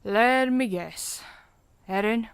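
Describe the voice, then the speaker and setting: A woman's voice: a little high, slow, smooth, no room sound. A woman playing a thoughtful, wry veteran comrade in a conversational audio drama, frank and reflective. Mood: somber.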